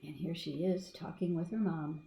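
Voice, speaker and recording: Female storybook reader for young children, expressive and conversational, recorded in a reverberant room. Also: sits low, normal speed, gravelly, slight background noise. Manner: expressive, asking the listener questions. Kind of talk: children's read-aloud with asides to young listeners. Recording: echoey room.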